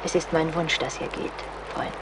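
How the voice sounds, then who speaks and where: An actress in a German radio play as a brave, self-sacrificing young colonel's daughter, resolute and pleading. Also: female voice, deep voice, fairly even intonation, normal speed, noisy background, lightly acted.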